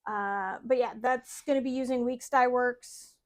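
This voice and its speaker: A woman co-hosting a casual stitching chat, listing her projects excitedly with fillers and restarts. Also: a little high, a little slow. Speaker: podcast co-host.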